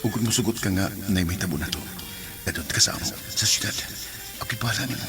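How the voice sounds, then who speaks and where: A man with a Cebuano accent acts out his own story for a radio drama. His voice sits low, and he sounds hesitant and apologetic. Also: quick pace. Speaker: letter sender narrating and acting his own story.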